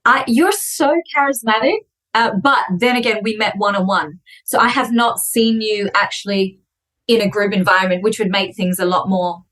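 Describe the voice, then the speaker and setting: A woman in her thirties, a podcast guest chatting in an animated, fast storytelling way. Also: mid-range voice, poor audio. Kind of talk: casual conversation.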